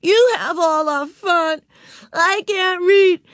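Bratty, high-pitched little girl. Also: expressive, takes it slowly, sharp tone, no echo, studio quality, heavily acted. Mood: angry, wailing. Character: stubborn, bratty little girl, youngest sister.

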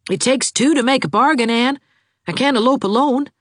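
A woman's voice: sits high, a bit bright, no echo, clean studio sound, voice pushed up. A woman voicing a lovesick, gloomy young man who talks in an earnest, fretful rush. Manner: earnest, fretful, fast-talking. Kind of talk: audiobook character voice.

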